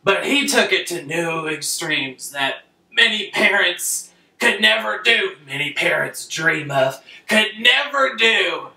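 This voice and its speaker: A young man delivers a mock bedtime story with crass, pompous theatricality. His voice is bright and unhurried, picked up off-mic in an echoey room. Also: high-pitched, animated, creaky, distant mic. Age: young adult. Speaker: storyteller playing a crass father. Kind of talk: comic bedtime-story narration. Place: small bedroom, indoors.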